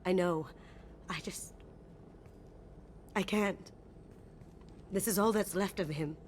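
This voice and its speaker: A woman's voice: on the low side, slow, slight background noise. A woman acting in a video game as a sentimental master thief, speaking in a conversational, wistful way. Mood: pained, reluctant. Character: sly, sentimental female master thief, grieving her lover. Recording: loud game ambience behind voice.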